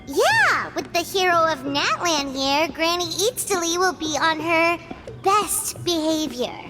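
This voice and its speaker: A woman's voice: extremely high pitch, lively intonation, slow, over music, heavily acted. A woman voicing a tiny, cheeky, childlike fairy companion, chirpy and blunt, in an anime-style video game. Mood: chirpy, curious.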